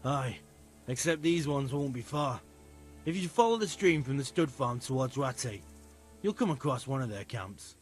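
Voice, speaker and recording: An actor playing a hesitant, loyal, earthy medieval stable worker in a video game, folksy and earnest. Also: male voice, medium pitch, lively intonation, normal speed, faint music behind, close to an everyday voice. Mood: helpful. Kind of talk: conversational video game cutscene acting.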